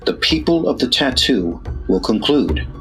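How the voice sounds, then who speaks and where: An actor playing a weary gentleman narrator, telling an adventure tale formally in a radio play. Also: medium pitch, sing-song, unhurried, soft background music, poor audio. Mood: weary, foreboding. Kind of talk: scripted dramatic first-person narration.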